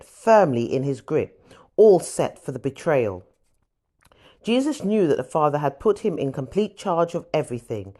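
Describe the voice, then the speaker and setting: A woman's voice: deep voice, darkish tone. A woman reading a Bible story as audiobook narration, expressively, with emphasis on the dialogue. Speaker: scripture reader.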